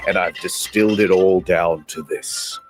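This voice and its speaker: A man's voice: sits low, fairly even intonation, unhurried, faint music behind. A man playing an obsessive male movie hoarder who speaks in theatrical, grand pronouncements. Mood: grandiose.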